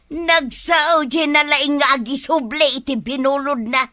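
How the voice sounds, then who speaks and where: A woman's voice: high-pitched, steady tone, a little slow, pushed and bright, no room sound, studio quality. A woman with an Ilocano accent playing a vain, quarrelsome wife who wants to borrow, in a colloquial radio drama. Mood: retorting.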